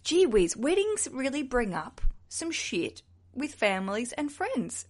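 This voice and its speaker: A woman's voice: sits high, very animated, a little slow. An Australian woman hosting a podcast alone, chatty, jokey and candid. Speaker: podcast host. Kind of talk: solo podcast chat.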